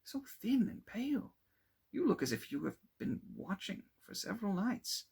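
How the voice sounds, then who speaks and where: A man's voice: high voice, big pitch swings, moderate pace, gravelly, a little mellow, slightly rough, pitched above the performer's own voice, full character voice. A man voicing a cheerful, eager young male friend in a storybook, effusive and affectionate. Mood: bright, concerned. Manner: exclamatory, effusive.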